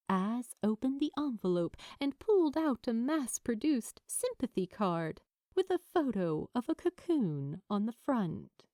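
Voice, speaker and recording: A woman reading a fan fiction story aloud as scripted, expressive narration. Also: mid-range voice, no room sound, clean studio sound.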